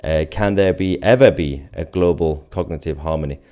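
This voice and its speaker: Guy with a really deep voice doing casual live stream commentary, reading chat, off the cuff. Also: normal speed, mellow. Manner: chuckling, informal, off-the-cuff.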